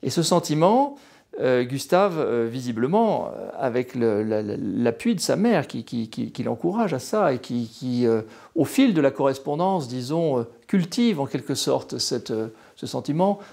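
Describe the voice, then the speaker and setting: A man's voice: medium pitch, big pitch swings, moderate pace, gravelly, dark tone. A French man in his late sixties, a retired history professor, talks hesitantly in an interview, with many fillers and restarts. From France. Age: 60s. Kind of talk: interview, personal account.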